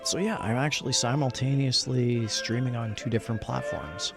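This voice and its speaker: Guy livestreaming games, chatty n upbeat, kinda low gravelly voice, music in the back. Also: normal speed. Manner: upbeat, chatty, muttering to himself while working. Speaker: livestreamer and host. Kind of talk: casual gaming livestream chat while setting up.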